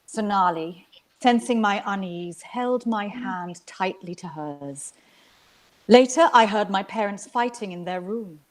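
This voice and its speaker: A woman from Sri Lanka reading literary fiction aloud as an actor, with measured, expressive storytelling. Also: mid-range voice. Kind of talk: dramatic literary narration.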